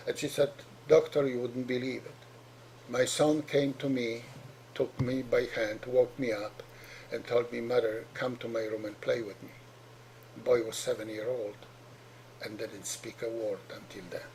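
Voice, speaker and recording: A man's voice: mid-range voice, little pitch movement, a little slow, a little mellow, a little hiss or hum, low-quality recording. A middle-aged man from Poland with a heavy Polish accent, a doctor giving an earnest explanatory talk to parents, often repeating and restarting words.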